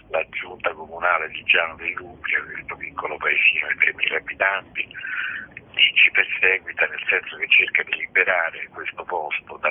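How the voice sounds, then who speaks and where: Older male guest, extremely husky and gravelly, rambling explanations down a narrow phone line. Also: a bass voice. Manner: discursive, digressive. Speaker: magazine director, interview guest. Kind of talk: spoken interview explanation.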